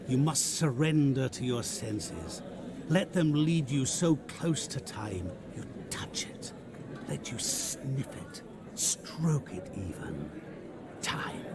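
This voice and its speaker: An actor playing a mysterious, smooth-talking male stranger who is courteous, theatrical and taunting, in a video game cutscene. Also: medium pitch, very animated, slow pace, noisy background. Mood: seductive. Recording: game cutscene with music score.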